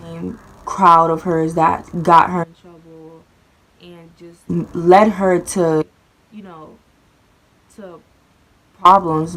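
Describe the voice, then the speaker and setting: A young woman vlogger telling a childhood story in casual chat, rambling with many fillers and restarts, her voice often dropping very quiet. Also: fairly low pitch, rough recording.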